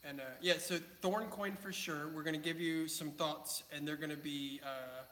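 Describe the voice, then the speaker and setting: A soft-spoken man with a slightly high, bright voice joins a livestream as a guest, hesitating often with ums. He sits a little away from the laptop mic. The room is echoey with a faint hiss. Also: steady tone, normal speed. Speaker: guest co-host. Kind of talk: casual livestream chat.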